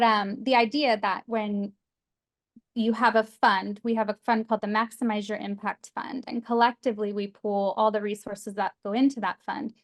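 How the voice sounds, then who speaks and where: A woman, co-director of a nonprofit, explaining how their work operates, with fillers and quick restarts. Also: mid-range voice. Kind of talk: casual video call conversation.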